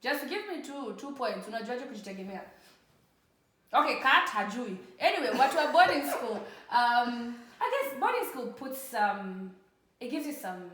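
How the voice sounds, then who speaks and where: A woman's voice: a little high, a bit bright. A woman from Kenya with a Kenyan accent, co-hosting a casual podcast chat in a playful, teasing way. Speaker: podcast co-host. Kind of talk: casual chat mixing in Swahili. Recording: very echoey room.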